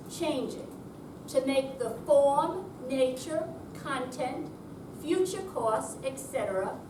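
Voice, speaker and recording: Woman, readers theater, measured enunciation, unhurried, echoing room, mic far off, clear background noise. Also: high-pitched. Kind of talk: dramatic reading of a dictionary definition. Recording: distant mic, echoey room, noisy.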